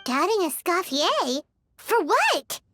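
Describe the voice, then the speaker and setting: A woman voicing a tiny, curious, excitable childlike game companion with a squeaky voice. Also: slow pace, faint music behind, heavily acted. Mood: puzzled. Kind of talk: video game voice acting.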